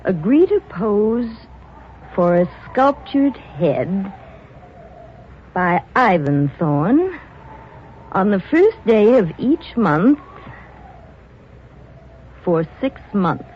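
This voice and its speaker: Scornful fed-up wife, lively pitch, measured delivery, old radio drama. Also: female voice, slight background noise. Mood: businesslike. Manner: sharp, contemptuous. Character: scornful, impatient wife, an adult woman. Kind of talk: 1940s radio acting. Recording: dull old radio recording.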